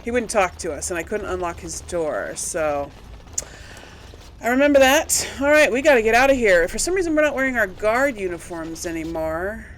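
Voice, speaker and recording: A woman's voice: medium pitch, animated, normal speed, slight background noise. A woman giving casual, chatty let's play commentary, thinking aloud and asking herself questions as she goes. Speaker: video game streamer and series host.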